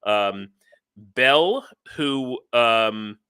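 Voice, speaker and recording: A man with a bright, forward voice hosts a casual livestream. The sound is close and dry, and he talks in a loose, hesitant way, circling back and repeating phrases as he goes. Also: mid-range voice, expressive, drawn-out words, clean tone, no echo. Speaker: livestream host, interviewer.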